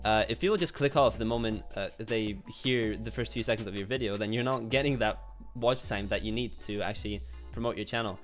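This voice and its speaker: A man's voice: lowish voice, fairly quick, faint music behind. A young man from Poland with a Polish accent giving informal, sweary YouTube commentary and reading comments aloud. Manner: informal, swearing, chuckles between remarks.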